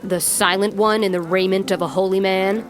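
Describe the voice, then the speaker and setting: A haughty gang boss acted by a woman haggling in a fantasy audio drama. The mid-pitched voice is sardonic and commanding, with faint music behind. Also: steady tone, fairly quick, lightly acted. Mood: sardonic, suspicious. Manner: sardonic, imperious, dry. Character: haughty suspicious trade buyer, a gang boss.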